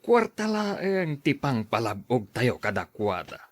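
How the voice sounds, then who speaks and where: Man acting a slow, swooping, greedy crook. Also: medium pitch, sing-song. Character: greedy, cunning male crime boss. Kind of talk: audio drama acting.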